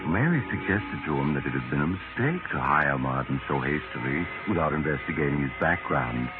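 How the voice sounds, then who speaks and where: A very deep male voice narrates a sinister tale. The delivery is ominous and measured, in 1940s mystery style. It comes through thin old radio sound with music clearly underneath. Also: expressive. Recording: narrow-band vintage radio recording.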